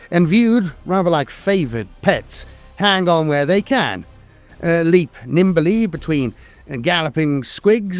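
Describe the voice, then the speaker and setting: A man narrating a scripted piece of fantasy lore in a dramatic storytelling manner. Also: fairly high pitch.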